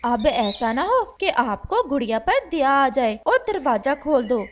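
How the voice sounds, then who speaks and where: A woman's voice: high-pitched, very animated, unhurried, a little hiss or hum. A woman voicing a scheming, callous young female bird in a cartoon, haughty and dismissive. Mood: cold, manipulative. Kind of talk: Hindi cartoon voice acting.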